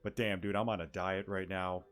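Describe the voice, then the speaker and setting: A man's voice: deep voice, level tone, normal speed, fairly bright tone. A man doing casual let's-play commentary in a laid-back, slangy way. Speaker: game streamer. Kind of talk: casual let's-play commentary, reading game text aloud. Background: game music.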